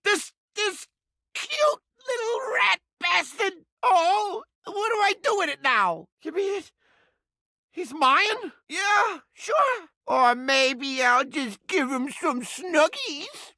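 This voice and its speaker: A crusty little mouse trap from a video game, brash and streetwise. The voice is piercing and strident, leaping up and down in pitch, recorded very dry. Under the surly bluster sits a soft heart. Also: male voice, animated, slow pace, dry recording, full character voice. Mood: amazed delight. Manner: brash, yelling, streetwise slangy wisecracking. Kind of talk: video game character acting.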